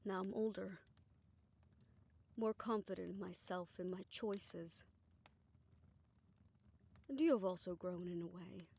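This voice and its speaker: A woman playing a tender, self-assured older woman in a romantic audio roleplay, speaking in a reflective, gently formal way. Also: fairly low pitch, takes it slowly, gravelly, long pauses, breathy edge, exaggerated voice. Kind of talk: romantic audio roleplay, intimate scripted monologue.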